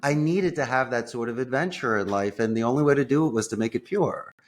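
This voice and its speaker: An American man speaking as an interview guest, telling personal stories in an enthusiastic, expressive way with colorful phrasing. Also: medium pitch, fast talker. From United States. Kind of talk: conversational personal storytelling.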